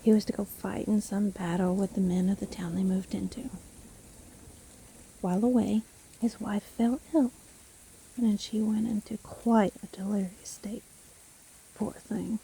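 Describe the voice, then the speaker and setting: A very soft-edged, dark voice over faint rain. A woman plays a solitary forest witch who seems kindly but is sly underneath. She coaxes gently and takes her time, in the manner of a fantasy storytelling roleplay. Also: medium pitch, fairly even intonation, a little slow, vocal fry, pauses often, a little hiss or hum, rough recording. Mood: hushed storytelling. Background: rain storm ambience.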